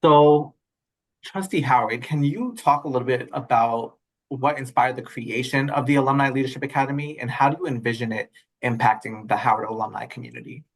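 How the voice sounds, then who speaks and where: A man's voice: medium pitch, brisk. A man hosting and moderating an online alumni call in a polite, formal way, with many small fillers, heard over a video call. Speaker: alumni relations director and host.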